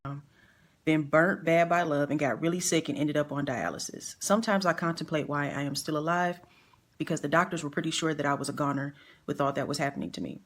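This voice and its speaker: A woman's voice: deep voice, a little fast. A woman reading a personal message aloud in a plain, confiding style.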